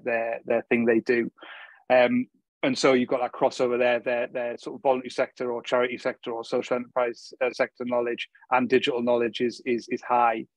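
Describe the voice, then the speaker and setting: A man's voice: mid-range voice, no room sound, poor audio. A man speaking as a guest at an online meetup, rambling with many restarts and fillers. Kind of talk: casual online meetup talk.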